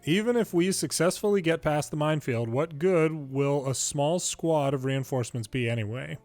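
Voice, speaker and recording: A man's voice: on the high side, moderate pace, darkish tone, no echo. Man reading game dialogue over music. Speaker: video game commentator reading subtitles aloud. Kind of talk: dramatic read-aloud of game dialogue.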